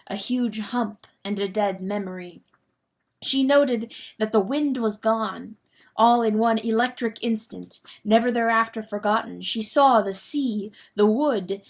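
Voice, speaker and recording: A woman reading an audiobook as a dramatic scripted narration. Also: medium pitch. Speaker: audiobook reader.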